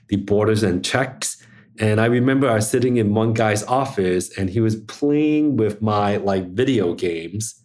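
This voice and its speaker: A middle-aged man from Hong Kong with a fairly low voice, talking as a podcast guest. He tells his story in a relaxed, chatty way and leans on fillers like "like.". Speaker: interview guest, media executive. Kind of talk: casual personal storytelling.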